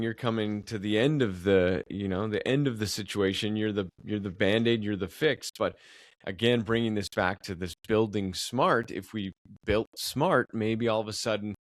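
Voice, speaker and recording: A man's voice: lowish voice, no echo, studio quality. A man hosting a podcast, upbeat and enthusiastic, often repeating words as he goes. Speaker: podcast host. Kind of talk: podcast interview.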